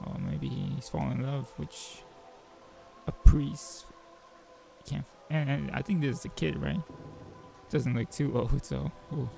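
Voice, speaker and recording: A man with a gravelly voice reading a visual novel aloud as a game player, mixing narration with informal joking asides. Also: mid-range voice, very animated, measured pace, warm tone, faint music behind. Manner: informal, joking commentary between read passages.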